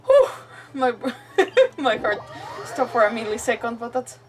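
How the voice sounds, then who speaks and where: A woman's voice: fairly high pitch, very animated, unhurried, distant mic. A young woman from Mexico, a native Spanish speaker, chatting casually and bubbly as she streams a game. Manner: bubbly, rambling, giggly. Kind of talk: casual livestream chat while playing. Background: game music.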